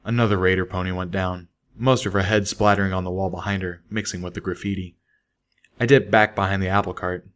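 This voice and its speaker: A man's voice: low voice, fairly even intonation, brisk. A man reading a scripted first-person story as an audiobook narrator. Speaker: audiobook reader.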